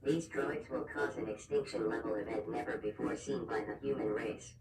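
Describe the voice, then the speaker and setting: A roomy, distant recording carries a solemn male emergency announcer, played by a man. He reads a scripted alert formally and impersonally over clear background noise. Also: medium pitch, steady tone, normal speed, close to an everyday voice. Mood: grave, formal. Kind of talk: scripted emergency broadcast narration. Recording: echoey, distant, noisy alert broadcast audio.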